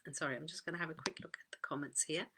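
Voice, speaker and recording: A woman from Denmark giving a relaxed, chatty live video talk from a small home office, with many fillers and restarts. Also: low-pitched, rapid-fire, noisy background. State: tired. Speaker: host of an online community talk.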